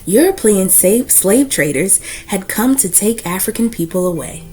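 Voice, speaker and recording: A woman reads a children's storybook aloud in a lively, swooping voice. Her phrasing is deliberate and emphatic, as a read-aloud host would do it. Soft music plays faintly behind her. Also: medium pitch.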